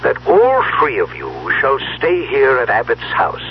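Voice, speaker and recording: A man playing a theatrical magician patriarch in a 1970s radio drama, speaking in grandiose, deliberate, formal phrases. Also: very animated. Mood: grandiose, commanding. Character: dead magician patriarch, theatrical, speaking through a recorded will. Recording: dull noisy old radio recording, low hum.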